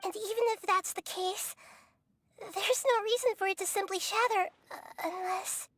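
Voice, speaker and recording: Gentle little goddess girl, high soft voice, calm and kind, drops to a whisper. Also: normal speed, heavy background noise. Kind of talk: game cutscene voice acting.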